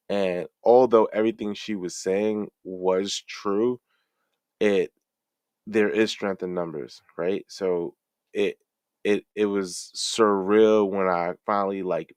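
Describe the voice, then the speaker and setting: A middle-aged man, a fellow streamer, answering interview questions in a friendly, reflective, halting way. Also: low-pitched, smooth, no echo, studio quality. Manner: rambling, halting, many restarts and fillers.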